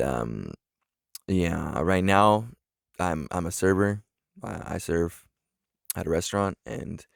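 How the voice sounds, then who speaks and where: Earnest young man with a deep, gravelly voice. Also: no room sound, studio quality. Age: 20s. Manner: earnest, halting, many fillers and restarts. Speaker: vlogger. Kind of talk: casual talk to camera.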